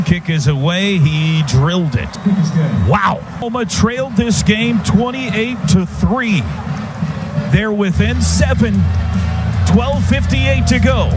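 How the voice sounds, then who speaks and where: An American man calling football play-by-play live on radio in clipped running phrases that build to shouts on big plays. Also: high voice. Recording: noisy stadium radio broadcast.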